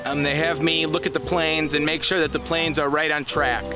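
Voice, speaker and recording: A man's voice: a little high, level tone, normal speed, music in the background. A young man in a comedy sketch monologue, talking in a whiny, rambling, self-pitying way. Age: young adult. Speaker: sketch comedian playing a hapless worker. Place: outdoors on a lake beach.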